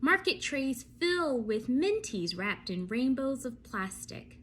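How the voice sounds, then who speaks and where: A woman reading a bedtime story aloud for children, expressive and often turning to ask her young listeners questions. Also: sits high, takes it slowly, a little hiss or hum.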